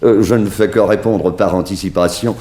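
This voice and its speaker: An actor playing a flamboyant, self-important Parisian couturier, courteous and theatrical, in a 1960s French radio drama. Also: male voice, low voice, fairly even intonation, moderate pace, dark tone, natural voice. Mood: grandiose, amused. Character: flamboyant celebrated Parisian couturier, grandiose and eccentric man.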